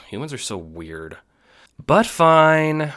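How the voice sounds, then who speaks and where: A man's voice: on the high side, sing-song, very slow, sharp tone, pitched above the performer's own voice, exaggerated voice. A man voicing a mocking, bossy alien troll teenager in an audio drama, with a sneering, exasperated, theatrical delivery.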